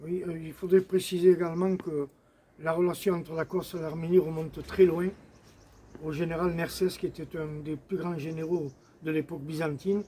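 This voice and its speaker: Male guest speaker, soft dark tone, slightly high pitch, explaining for a commemoration; light background noise. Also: flat delivery, moderate pace. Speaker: guest, association president. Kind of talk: explanatory commemorative talk to camera. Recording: faint background ambient noise.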